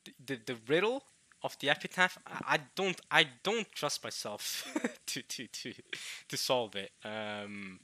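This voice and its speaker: A young man from Norway, chatting casually to viewers in a halting way, restarting words often with chuckling self-deprecation. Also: mid-range voice, pushed and bright, no echo. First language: Norwegian. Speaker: visual novel let's player.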